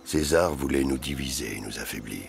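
Very deep, gravelly old Gaul. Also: male voice, brisk, faint music behind, exaggerated voice. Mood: grave, regretful. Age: older. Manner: solemn, weighty. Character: grave, proud old Gaulish nobleman and father. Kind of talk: dramatic dialogue and reflective narration in animated docudrama.

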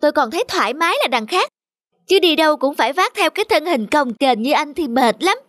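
A woman's voice: sits high, expressive, fairly quick, fairly bright tone, no room sound, clean studio sound. A woman voicing a perky, argumentative young cartoon goat. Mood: cocky. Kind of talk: cartoon voice acting.

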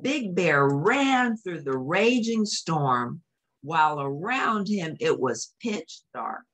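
A woman reads a children's picture book in a low voice with a lot of melodic movement. She takes her time and explains things simply for young listeners. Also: big pitch swings, slow, fairly bright tone. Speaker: children's story reader.

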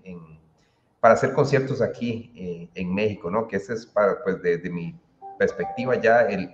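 Man, Costa Rican accent, dull echoey call audio, fairly low pitch, casual guest musician. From Costa Rica. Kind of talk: casual interview answers. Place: indoor room, roomy. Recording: roomy, muffled, noisy video call.

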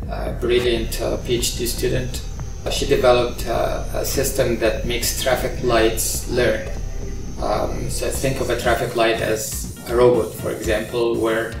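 A middle-aged man from Egypt, an engineering professor, explaining his research in a measured way with frequent ums and uhs, recorded in a large open-plan control center. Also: mid-range voice, gravelly. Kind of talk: interview about his research. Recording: roomy, distant sound with music under it.

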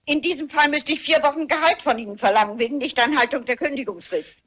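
An older woman acting in a German radio play as a shrewd, unflappable elderly lady sleuth, dry and brisk. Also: sits high, fairly bright tone. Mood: dry, composed. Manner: dry, brisk, quick-witted. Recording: muffled radio play audio with noise.

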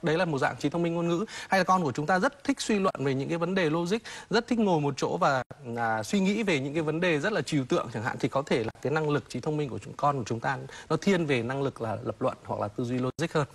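A man in his thirties, an expert guest in a TV studio interview, explaining at a measured pace with many hesitation fillers, on a lapel mic. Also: a little high, animated, slightly rough. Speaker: child psychology expert guest.

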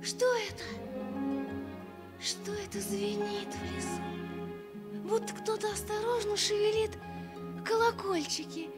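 An actress playing a wonder-struck, gentle young village girl in a Soviet radio fairy tale. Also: very high voice, steady tone, a little slow, long pauses, loud music behind the voice. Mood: hushed wonder.

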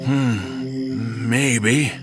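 An actor playing an eerie, amused, scraggly middle-aged man in a horror audio drama, with a slow, drawling delivery. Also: mid-range voice. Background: music.

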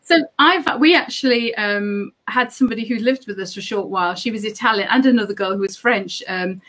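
A woman's voice: medium pitch, brisk, fairly bright tone. A woman hosting a casual online interview, effusive and often restarting her sentences. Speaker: host.

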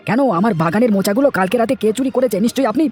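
A man's voice: extremely high pitch, very fast, darkish tone. A man voicing a hot-tempered village garden owner in a fairy tale cartoon, speaking emphatically. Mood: angry, accusing.

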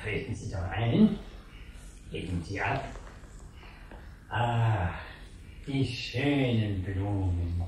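A man's voice: lowish voice, very slow, pauses often, darkish tone, low-quality recording. A man playing a fussy, proud, elderly caretaker with a homely, self-important manner in a 1970s German radio play. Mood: genial, fussy. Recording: hissy 1970s radio drama recording.

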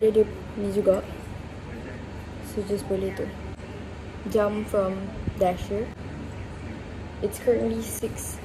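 A woman's voice: medium pitch, flat delivery, slow pace, long pauses, background noise. A young adult woman with a Malaysian accent, chatting casually to her camera in a vlog. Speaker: vlogger. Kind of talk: casual vlog chat mixing Malay and English. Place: large wood-panelled resort house, indoors.

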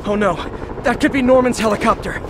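An actor playing a young, earnest superhero in conversational video game drama. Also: male voice, very high voice, a little fast, background noise. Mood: reassuring. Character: young male superhero, earnest, burdened, quippy.

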